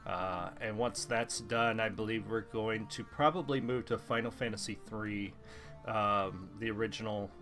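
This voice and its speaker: A man hosting a let's play, talking casually with lots of ums, his voice stuffy and a little hoarse. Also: on the low side, unhurried. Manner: rambling, relaxed, many ums. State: stuffy, slightly hoarse throat. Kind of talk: casual gameplay commentary. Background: faint game music.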